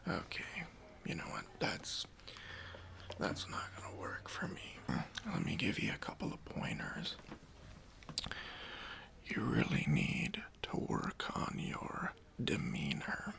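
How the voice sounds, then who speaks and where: A performer voicing a smug, self-important boss in a whispered ASMR job interview roleplay, condescending and awkwardly hesitant, with a breathy, gravelly whisper. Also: deep voice, huge pitch swings, slow pace, pauses often, voice pushed down, full character voice. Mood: patronizing.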